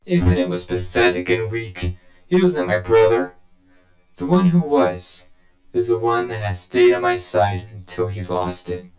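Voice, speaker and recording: An actor voicing a scheming, vengeful game villain who tells his story in a deliberate, condescending, smug way. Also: on the low side, expressive, darkish tone, reverberant, faint music behind, poor audio. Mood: brooding, scornful. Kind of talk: dramatic video game cutscene monologue.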